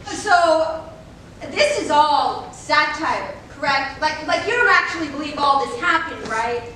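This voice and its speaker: Woman playing a very high-voiced sceptical news reporter. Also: lively intonation, unhurried, sharp tone, heavily acted. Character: television news reporter interviewing a conspiracy believer. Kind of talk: comedic staged reader's theater. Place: stage at a speech competition. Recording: echoey noisy hall, distant mic, audience noise.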